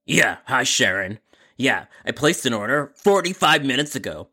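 Irate delivery customer, acted by a man: curt, insistent, gravelly and husky, piercingly tense edge. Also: medium pitch, very animated, normal speed, pushed and bright, full character voice. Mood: irritated. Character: grumpy, impatient food delivery customer named Sharon.

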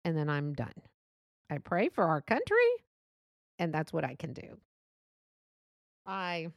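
A woman's voice: lowish voice, dry recording, clean studio sound. A middle-aged woman from Texas with a Texan accent, hosting a podcast in a chatty, rambling, self-deprecating solo monologue. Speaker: podcast host.

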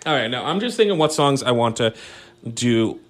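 A man's voice: mid-range voice, animated, normal speed. A Canadian man hosting a small community radio show, chatting casually and enthusiastically with lots of fillers about the next song. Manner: rambling, filler-heavy, enthusiastic.

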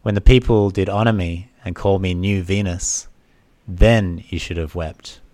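A man's voice: low-pitched, steady tone, measured pace, darkish tone, no room sound. A man voicing a sorrowful, proud young princess resigned to doom, in solemn declamatory storytelling. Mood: bitter lament. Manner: solemn, declamatory, rhetorical questions. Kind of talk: dramatic storytelling of an old myth.

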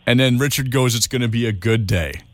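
Man, forties, radio co-host, casual chat, halting fillers, dry close sound. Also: medium pitch, no echo. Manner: relaxed, hesitant, many fillers and restarts.

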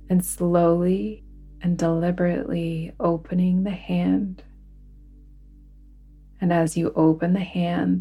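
A woman guiding a relaxation meditation in a soothing, deliberate manner, with phrases that sometimes fall to a hush. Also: on the low side, husky. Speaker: yoga nidra guide. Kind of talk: guided relaxation meditation narration.

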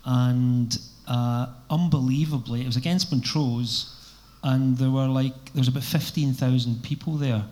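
A man's voice: mid-range voice, fairly even intonation, measured pace, darkish tone. A Scottish man in his fifties, a record label boss guesting on a live panel, telling stories in a rambling, casual way. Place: live event venue.

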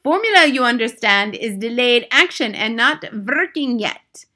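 A woman's voice: a little high, expressive, measured pace, sharp tone, heavily acted. A woman voicing a wicked, bloodthirsty old witch leader in a storybook, commanding and triumphant, speaking in broken, foreign-sounding grammar. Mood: gloating, triumphant.

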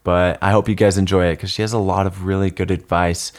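A man's voice: sits low, flat delivery, fast talker. A man hosting a casual podcast interview, upbeat and enthusiastic, sharing one microphone with his guest. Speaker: podcast host. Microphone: single shared microphone.

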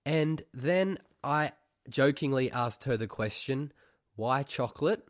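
A man's voice: medium pitch, expressive, no room sound, clean studio sound. A man hosting a podcast in front of a live audience, chatting casually and cracking teasing jokes. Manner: joking, quick teasing interjections. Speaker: podcast host. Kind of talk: casual interview chat.

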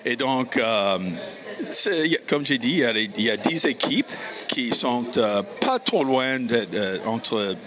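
A man from the United States with an American accent, a native English speaker hosting casually, halting and repeating words as he searches for phrasing. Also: medium pitch, lively intonation, crowd noise. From United States, Missouri. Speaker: show host and interviewer. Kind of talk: casual on-location introduction and interview.

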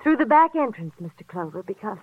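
A woman's voice: medium pitch, big pitch swings, fairly quick. An actress playing a grieving, defensive young woman in a 1940s radio melodrama, guarded and weary. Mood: weary, resigned. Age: young adult. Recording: dull old radio recording.

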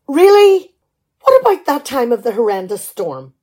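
A woman playing a nagging, exasperated, sharp-tongued middle-aged wife in a radio play. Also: sits high, very animated, moderate pace. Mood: exasperated ranting. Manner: exasperated, sarcastic scolding.

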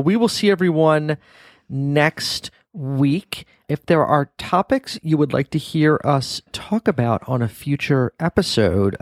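Playful middle-aged dad hosting a podcast. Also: male voice, mid-range voice, no echo, clean studio sound. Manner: playful teasing dad, rambling restarts. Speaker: podcast host, father. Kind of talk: casual podcast chat.

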